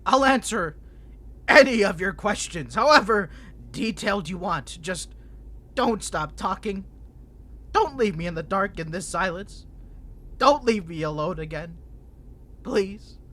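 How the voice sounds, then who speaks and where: A man's voice: high-pitched, animated, unhurried, pauses often, a bit bright, heavily acted. A man voicing an unhinged, ancient imprisoned vampire who is flirtatious, needy and manipulative, talking in a theatrical, rambling, teasing way in an audio roleplay. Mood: desperate, pleading. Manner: theatrical, rambling, teasing, swinging between smug and pleading. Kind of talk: dramatic character audio roleplay.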